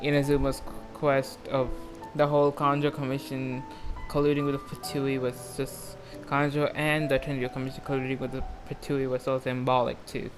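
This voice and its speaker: A man doing casual, rambling live reaction commentary on a game, excitable and prone to swearing and saying "like". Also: mid-range voice, moderate pace, music in the background.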